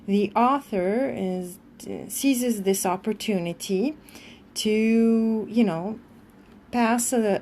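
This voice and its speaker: Lady explaining words in two languages, chatty and encouraging, soft warm tone, bit of noise behind her. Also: medium pitch. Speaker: host guiding a child's reading and translating. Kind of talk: informal bilingual teaching, chatting with live viewers.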